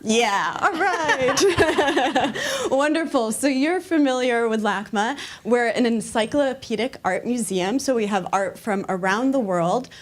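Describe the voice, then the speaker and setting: A woman's voice: a little high, takes it slowly. An American woman, a museum educator, giving an upbeat explanatory talk on a conference panel. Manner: upbeat, explanatory, occasional fillers. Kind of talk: presentation talk to an audience.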